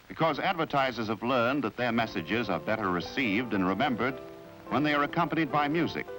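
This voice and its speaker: Male American narrator, vintage fifties educational film; formal, measured script reading with animated intonation over music. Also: medium pitch, low-quality recording. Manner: formal, measured, explanatory delivery. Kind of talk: 1950s documentary scripted narration.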